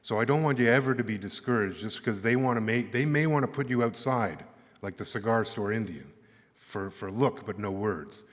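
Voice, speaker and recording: A Canadian man giving earnest closing remarks at an arts summit, halting and thoughtful, with many fillers. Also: lowish voice. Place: large conference hall. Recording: echoey hall with long reverb.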